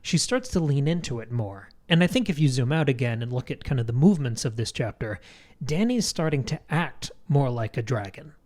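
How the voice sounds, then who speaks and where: Mid-pitched man talking through analysis. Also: animated, fairly quick, a little mellow. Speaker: book club podcast co-host. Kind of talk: conversational literary analysis.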